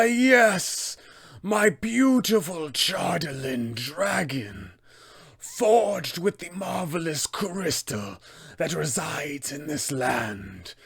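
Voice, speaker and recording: A man voicing an insane, imperious old dwarf tyrant for a tabletop game, raspy and gravelly, with a grandiose, menacing delivery. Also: a little high, fairly bright tone, pitched above the performer's own voice, exaggerated voice. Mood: gloating. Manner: slow, grandiose, menacing, theatrical. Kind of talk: villain monologue for a tabletop game.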